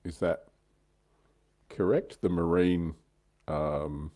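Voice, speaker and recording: Low, mellow-voiced man chatting casually. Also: fairly even intonation, drawn-out words. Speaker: livestream co-host on tabletop wargaming show. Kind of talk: casual panel chat.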